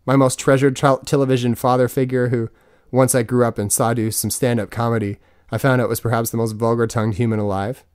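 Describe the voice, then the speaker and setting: A man's voice: medium pitch, flat delivery, fairly quick, a little mellow, clear, resonant tone, no room sound, clean studio sound. A man in his twenties reading his own audiobook in a wry, self-mocking manner. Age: 20s. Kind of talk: scripted audiobook narration.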